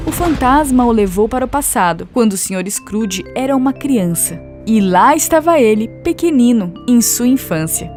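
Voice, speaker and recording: A woman's voice: a little high, lively intonation, normal speed, few pauses, a little mellow. A woman with a Brazilian accent narrating a scripted children's story. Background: background music.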